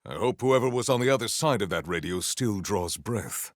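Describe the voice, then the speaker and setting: An actor playing a proud, honorable warrior in a video game, speaking in a stately, theatrical, formal way. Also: male voice, lowish voice, animated, normal speed, no echo, studio quality, natural voice. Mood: earnest, noble. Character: proud, honorable, formal warrior, adult man, earnest and grandiose. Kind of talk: video game character voice lines.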